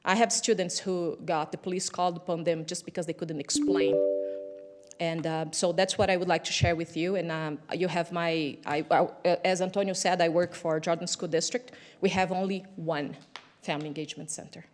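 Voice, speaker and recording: A woman with a Brazilian accent speaks at a public meeting in a fairly low voice. She is a native Portuguese speaker who teaches English, and she makes her points haltingly, reworking phrases as she goes. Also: steady tone, soft background music. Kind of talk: public comment speech at a council meeting.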